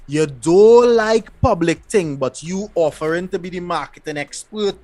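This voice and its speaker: A man with a Trinidadian accent, co-hosting in a relaxed, conversational radio chat. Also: high-pitched, normal speed, no room sound. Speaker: co-host.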